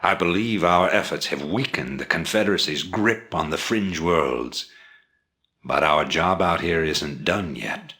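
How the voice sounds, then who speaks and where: An extremely deep-voiced rebel commander from a sci-fi game. He is calculating and authoritative, delivering orders with measured formality and a bright, forceful edge. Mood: grave, commanding. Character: commanding, calculating older male rebel leader. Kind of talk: video game mission briefing.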